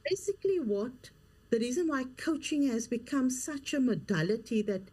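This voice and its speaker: Earnest woman, slightly high voice. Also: lively intonation, normal speed, darkish tone. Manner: earnest, emphatic, repeats key phrases. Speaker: guest, leadership coach and author. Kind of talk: interview conversation.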